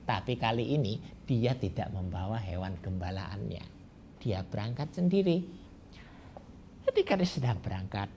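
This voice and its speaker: A man telling a folk tale to children as a grandfather, slowly and with many pauses and fillers. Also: mid-range voice, a little mellow, slight background noise. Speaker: storyteller as a grandfather. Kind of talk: oral storytelling to children.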